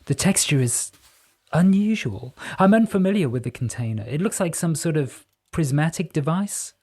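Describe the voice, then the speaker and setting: A man's voice: on the high side, lively intonation, moderate pace, a little mellow, pitched above the performer's own voice. A man voicing a dry, skeptical male researcher who speaks in a confident drawl. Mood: puzzled.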